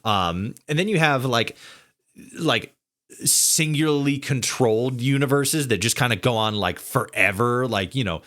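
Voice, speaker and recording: Guy hosting a casual podcast chat, average pitch, keeps stumbling and saying like, kinda rambly. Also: fairly bright tone. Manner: halting, rambling, peppered with "like". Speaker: podcast host interviewing a guest. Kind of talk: casual conversational interview.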